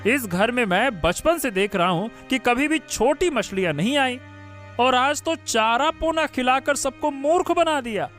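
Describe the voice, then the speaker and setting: Man acting an arrogant, high-pitched rich son. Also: expressive, a little slow, a bit bright, soft background music, heavily acted. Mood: contemptuous. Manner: sneering, contemptuous. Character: arrogant, plotting grown son of a rich family. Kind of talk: Hindi cartoon moral-story dubbing.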